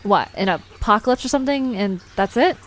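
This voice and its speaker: A woman's voice: mid-range voice, lively intonation, fairly quick, a little hiss or hum, natural voice. A woman playing a casual, impulsive young woman who leads her friends in an audio drama. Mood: skeptical.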